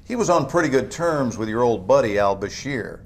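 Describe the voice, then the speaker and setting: An actor playing a controlled government agent interrogator in a game, measured, pointed and coolly sardonic. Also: male voice, mid-range voice, lively intonation. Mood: coolly pressing. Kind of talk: cinematic game drama acting.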